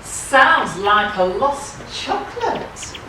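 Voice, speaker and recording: A woman playing a boastful, dim male outlaw in a stage pantomime, with loud emphatic delivery. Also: medium pitch, sing-song, drawn-out words, heavily acted. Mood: comic bluster. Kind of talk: broad pantomime stage acting. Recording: echoey hall, distant mic, crowd and noise.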